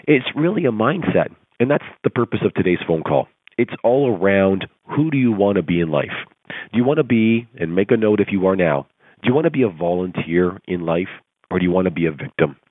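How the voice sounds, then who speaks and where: A hoarse man with a very deep voice gives an earnest, rousing coaching talk full of rhetorical questions. He is heard over a tinny conference phone line. The sound is dry and close. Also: no echo. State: hoarse, recovering from laryngitis. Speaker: coaching call presenter. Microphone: telephone conference call.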